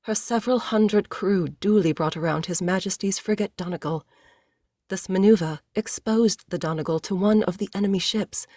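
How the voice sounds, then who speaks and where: A woman's voice: mid-range voice, a little mellow, no echo, studio quality. A woman reading a novel aloud in audiobook-style scripted narration.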